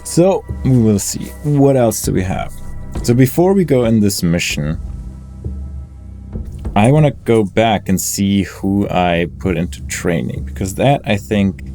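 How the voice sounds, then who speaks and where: Male let's play host: relaxed, dark tone, casual musing delivery, faint music behind. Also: lowish voice, unhurried. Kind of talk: casual game commentary, thinking aloud. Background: game music.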